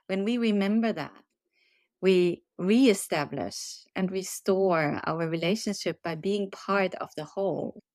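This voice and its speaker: A woman from Germany, a resilience trainer, giving earnest, measured answers in a podcast interview. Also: mid-range voice, no room sound. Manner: earnest, measured, gently emphatic. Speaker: resilience and leadership trainer, guest.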